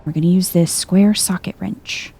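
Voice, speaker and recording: A soft-spoken woman giving chill, understated game commentary in an ASMR style, musing aloud as she plays. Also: lowish voice, fairly even intonation, normal speed, creaky, a little hiss or hum. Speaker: video game streamer.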